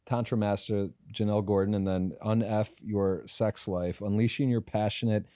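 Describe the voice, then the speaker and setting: Low mellow-voiced male podcast host. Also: steady tone, dry recording, studio quality. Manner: halting, filler-heavy when musing. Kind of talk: interview questions and casual backchannel.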